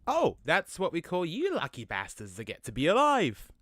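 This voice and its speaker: A man playing a laid-back but quick-tempered, cocky ghost in an audio drama, with a brash, slangy, matey way of talking. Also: on the high side, huge pitch swings, fairly bright tone, no room sound, clean studio sound. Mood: cocky, chatty. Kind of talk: conversational audio drama acting.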